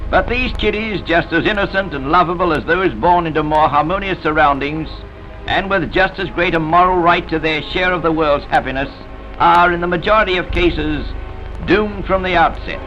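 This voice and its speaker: A man's voice: fairly high pitch, normal speed, sharp tone, faint music behind. A man narrating a scripted vintage newsreel in a solemn, dramatic, oratorical manner. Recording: dull vintage newsreel soundtrack.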